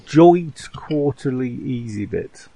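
Male game master, mid-pitched, rambling and halting, casual table talk over slight background noise. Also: animated, gravelly.

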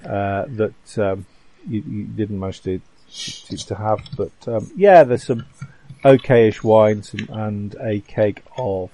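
A man running a tabletop game as game master, talking casually in a halting, rambling way. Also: on the low side, mellow.

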